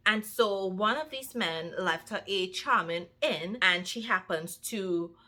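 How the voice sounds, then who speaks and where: A young woman with a Trinidadian accent, chatting casually to her viewers as a book vlogger, lively and talking straight to them. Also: mid-range voice, takes it slowly. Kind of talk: casual chat to camera.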